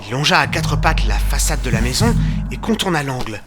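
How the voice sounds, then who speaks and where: Loud music runs under a male actor playing a detective's loyal companion in an audio drama. He tells the tale in a measured, literary way at a middling pitch. He is earnest, with a dry streak of humour. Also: sing-song, lightly acted. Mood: suspenseful. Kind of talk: audio drama narration with humorous asides.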